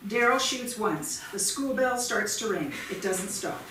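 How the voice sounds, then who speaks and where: A woman's voice: medium pitch, lively intonation, a little slow, a bit bright. A woman narrating scripted stage directions at a staged play reading. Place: theatre stage before the mic at a distance. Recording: echoey theatre, distant mic, clear noise.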